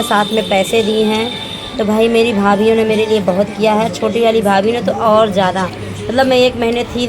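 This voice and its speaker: A woman in her thirties, a family vlogger talking casually and homely at home, her voice a little hoarse. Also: fairly high pitch. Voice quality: chatty, homely, rambling with fillers. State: slightly hoarse. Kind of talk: casual home vlog chat.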